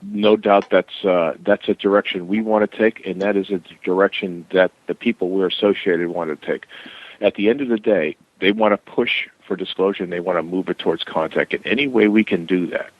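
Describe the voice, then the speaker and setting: Deep-voiced man on a dull dry line. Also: dry recording. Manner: measured, hesitant with many fillers. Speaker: interview guest. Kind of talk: casual phone interview.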